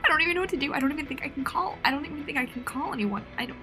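A woman's voice: high-pitched, racing, close to an everyday voice. Woman acting as anxious young quarantine diarist, music behind. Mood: panicked. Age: young adult. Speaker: student voice actor. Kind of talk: audio diary drama acting.

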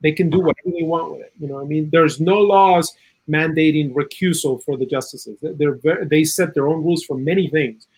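A man from South America giving earnest, opinionated political commentary in a conversational way, with a husky voice and plenty of "you know". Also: a little high, a little fast, darkish tone, rough recording. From South America, Chile. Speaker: interview guest, political and legal commentator.

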